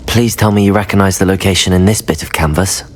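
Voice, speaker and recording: A man playing a calm, diplomatic young student wizard in a video game, speaking in a measured, courteous way. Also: low-pitched. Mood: thoughtful.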